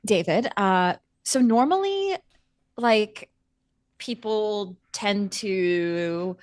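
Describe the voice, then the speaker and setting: A woman voicing a flustered, well-meaning young female vampire in a tabletop game, explaining haltingly and apologetically. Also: medium pitch, lively intonation, darkish tone, dry recording, studio quality. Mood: awkward, patient.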